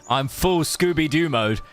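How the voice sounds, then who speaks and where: A young man streaming a game, giving loose, rambling commentary with lots of fillers. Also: a little high. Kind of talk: casual gameplay commentary.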